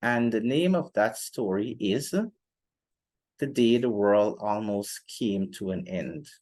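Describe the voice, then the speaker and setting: A young man giving an explanatory lecture in a formal, deliberate manner, pausing often between phrases. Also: on the low side, darkish tone. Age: young adult. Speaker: literature teacher presenting a lesson. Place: indoor room, seated before a plain wall.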